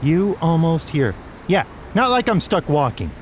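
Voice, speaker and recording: High-voiced, sarcastic young everyman. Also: big pitch swings, measured pace, a little hiss or hum. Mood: annoyed, sarcastic. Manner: sarcastic, grumbling, hesitant. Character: sarcastic, down-on-his-luck young man, awkward under pressure. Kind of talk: audio drama acting, naturalistic dialogue.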